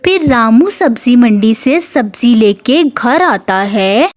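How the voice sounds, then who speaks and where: Slow, high-voiced woman storyteller. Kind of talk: scripted narration of a moral story.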